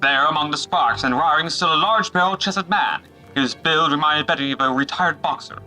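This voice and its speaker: A man narrates an adventure story from a script in a very sharp, forceful voice. It sits a little high and is delivered dramatically over quiet music. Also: normal speed, low-quality recording. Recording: music behind the voice.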